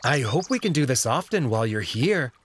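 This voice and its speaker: A man's voice: mid-range voice, very animated, a little fast. A man voicing a friendly, well-spoken alien servant in a visual novel, explaining things politely. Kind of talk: visual novel character reading.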